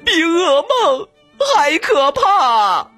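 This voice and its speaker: A man voicing a desperate poor peasant who pleads in a high, sobbing wail. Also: sing-song, extremely slow, pushed and bright, no room sound, soft background music, full character voice. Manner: high wailing pleas, near sobbing.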